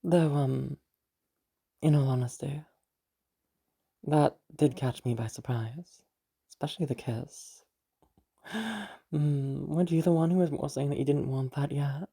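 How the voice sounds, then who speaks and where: A woman playing a sly, teasing villainess with a menacing yet tender streak in an intimate romantic audio roleplay, pausing often with soft hums and sighs. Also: fairly even intonation, exaggerated voice. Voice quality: low, husky, creaky.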